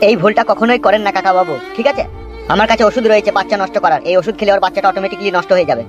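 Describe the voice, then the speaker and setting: With faint music behind, a man acts a cartoon village doctor who advises and lectures in a placating way. His speech comes very fast. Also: high voice, dull audio, natural voice.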